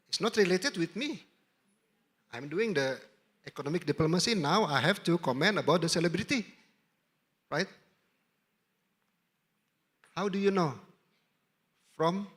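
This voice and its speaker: A man's voice: on the high side, fairly bright tone. A middle-aged man with an Indonesian accent, speaking as a diplomat to a summit audience and repeating his key points for emphasis. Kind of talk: anecdotal talk with audience Q&A.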